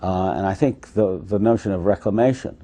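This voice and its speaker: A man giving a documentary interview, speaking in a measured, emphatic way. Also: sits low, mellow.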